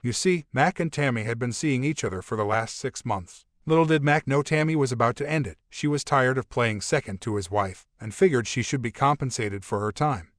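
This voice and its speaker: A man's voice: mid-range voice, a little fast, few pauses, no room sound. A man reading scripted narration for an audio story as its storyteller.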